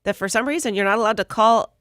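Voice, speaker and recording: A woman's voice: on the high side, fast, fairly bright tone, dry recording, studio quality. A woman hosting a radio morning show, telling strange news stories in casual banter with her co-hosts. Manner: storytelling, reads out odd news items with jokes. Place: radio studio. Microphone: studio microphone.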